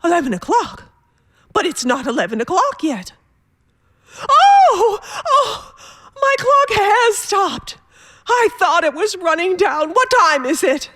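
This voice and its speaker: An actress playing a terrified, pleading wife in a radio thriller, stammering and frantic, going from whispers to shouts. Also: female voice, extremely high pitch, very animated, slow, exaggerated voice. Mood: alarmed. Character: terrified, pleading invalid wife alone at night, hysterical.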